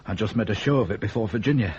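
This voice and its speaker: An actor playing a cautious, calculating young tradesman in a radio drama, with a Northern English accent and a blunt, halting manner. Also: on the low side, lively intonation, darkish tone, slightly rough. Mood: dry, guarded. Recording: dull, muffled 1980s radio broadcast recording.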